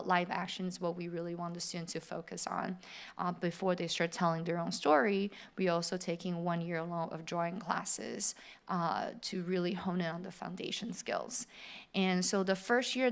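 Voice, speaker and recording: A middle-aged woman from Taiwan giving an upbeat open house presentation in a theater, speaking directly to prospective students. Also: lowish voice. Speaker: animation faculty presenter. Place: theater auditorium.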